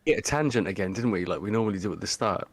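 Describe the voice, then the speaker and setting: A man's voice: lowish voice, expressive, very fast, dry recording. A young man from Wales with a Cardiff accent, a cheeky podcast guest who laughs often and swears. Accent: Cardiff Welsh. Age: young adult. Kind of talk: casual chat.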